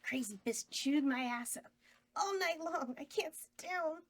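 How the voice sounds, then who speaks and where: A bawdy horror hostess with a high, swooping voice, read by a woman at a script table read. She drawls her lines with sultry, campy innuendo, savoring every naughty word. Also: lively intonation, unhurried.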